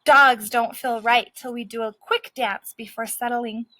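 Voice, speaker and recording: A woman's voice: on the high side, fairly even intonation, measured pace, pushed and bright, dry recording, rough recording. A woman reading as a brash street dog. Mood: cocky. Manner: brash, cocky storytelling. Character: scrappy streetwise male dog narrator.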